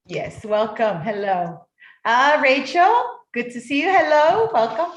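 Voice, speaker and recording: A woman's voice: high-pitched, lively intonation, echoey room. A woman moderating a youth forum on a video call, chatty and encouraging. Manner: encouraging, chatty, drawn-out delivery.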